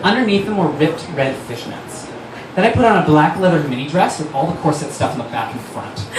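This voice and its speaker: A man voicing a vain, gloomy teenage goth vampire girl in a melodramatic stage reading of fan fiction. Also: fairly high pitch. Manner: self-absorbed, melodramatic, listing details. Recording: noisy, echoey live room recorded from far off.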